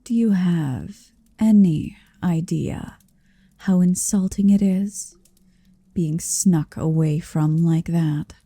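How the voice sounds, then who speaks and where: A woman voicing a towering, possessive female dragon in human form for an ASMR roleplay, speaking softly, often in a whisper, with a teasing, domineering manner. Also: lowish voice, dry recording, full character voice. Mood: offended, teasing. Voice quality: soft, breathy, often slipping into whisper. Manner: slow, deliberate, teasing and domineering.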